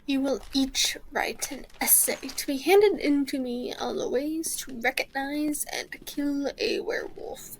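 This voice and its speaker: A woman playing a stern, cold male schoolteacher in a fan audio drama, curt, deliberate and commanding. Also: sits high, rough recording.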